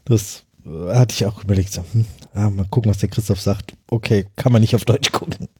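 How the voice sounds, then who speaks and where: A man's voice: fairly low pitch, fairly even intonation, fairly quick, dark tone, breathy edge, no room sound, studio quality. A man in a casual film chat, answering briefly in a laid-back way. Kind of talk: casual chat about films.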